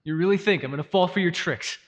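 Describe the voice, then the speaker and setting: Man acting a high-pitched, defiant young god. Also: fast talker, muffled recording, natural voice. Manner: sweary, curt, incredulous. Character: defiant, impatient young god, villain's brother. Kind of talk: roleplay audio drama acting.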